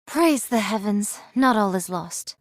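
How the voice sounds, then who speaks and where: A woman's voice: mid-range voice, animated, a little slow, no echo. A woman voicing a wry android swordswoman in a game, with formal, archaic, theatrical diction. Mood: relieved. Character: archaic-speaking android swordswoman, wry, fond of food and drink. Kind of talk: anime-style game voice acting.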